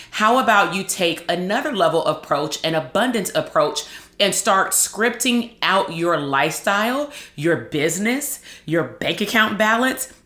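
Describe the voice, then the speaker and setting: Loud, lively woman coaching in an echoey room. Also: on the low side. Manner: lively, conversational, quick asides. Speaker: host, psychologist and branding coach. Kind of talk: casual motivational talk to camera.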